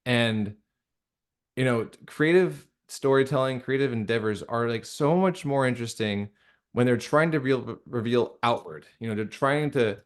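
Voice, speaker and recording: A man speaking casually and reflectively as an interview guest, earnest and halting, often interrupting himself mid-thought. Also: mid-range voice. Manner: earnest, halting, conversational with frequent self-interruptions. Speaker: guest, audio drama creator and director.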